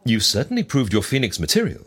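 Theatrical, fussy Egyptian fixer. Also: male voice, on the low side, huge pitch swings, fast, a bit bright, slight background noise, heavily acted. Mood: businesslike, wry. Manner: prim, florid, droll. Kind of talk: audio drama dialogue.

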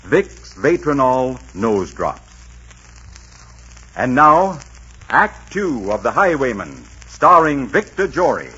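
Animated male old-time radio announcer. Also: medium pitch, slow. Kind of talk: 1940s radio announcing, scripted dramatic narration. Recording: dull 1940s radio recording, music.

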